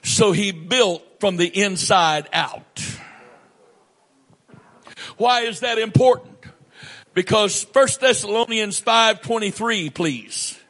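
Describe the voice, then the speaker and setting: A male pastor preaches in a church, his voice sitting high for a man. He reads scripture and then explains it at a slow, unhurried pace, stressing his points with measured pauses. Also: a bit bright. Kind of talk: sermon with scripture reading.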